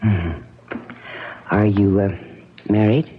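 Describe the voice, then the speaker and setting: A woman's voice: extremely low pitch, fairly even intonation, slow pace, a little hiss or hum. An American actress playing a troubled married woman, an insistent rescuer with suspicions, in 1970s radio drama style on a muffled old broadcast. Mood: curious, wary.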